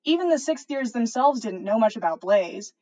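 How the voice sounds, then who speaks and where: Higher-pitched woman reading a story aloud. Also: animated, normal speed, mellow, highs cut off. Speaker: podcast host reading listener fan fiction. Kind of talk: storytelling read-aloud on a livestream.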